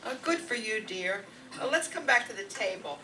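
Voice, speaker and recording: Actress as a bright-voiced, practical wife. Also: female voice, fairly high pitch, lively intonation, moderate pace, faint music behind. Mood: mildly exasperated. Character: practical, soothing wife managing her household. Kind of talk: radio comedy play acting. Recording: noisy, distant stage microphone.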